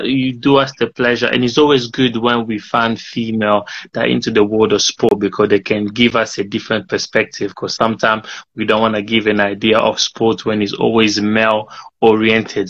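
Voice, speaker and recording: A man's voice: on the low side, a bit bright. Male host, casual and upbeat, bilingual patter; muffled lo-fi recording. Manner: upbeat, switches between English and French. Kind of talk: casual interview hosting. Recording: dull lo-fi sound.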